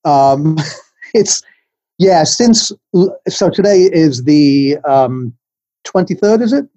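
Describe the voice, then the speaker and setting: A middle-aged Englishman speaks as a podcast guest, rambling and self-deprecating, with plenty of ums along the way. His voice sits a little high but sounds mellow and soft. The line is dry and dull, like a lo-fi remote call. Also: animated, slow, no room sound. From England. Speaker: guest, food writer.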